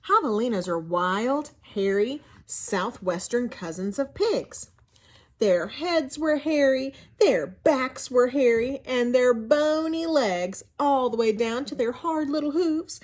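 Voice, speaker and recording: Expressive high-voiced woman reading to children. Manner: expressive, teacherly, often asks rhetorical questions. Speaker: school librarian reading aloud to children. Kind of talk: children's story read-aloud with asides and questions.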